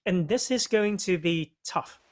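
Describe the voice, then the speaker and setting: A man's voice: sits high, expressive, a little slow. A man co-commentating an esports match in a chatty way, with many fillers and restarts. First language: not Mandarin. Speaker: esports co-caster.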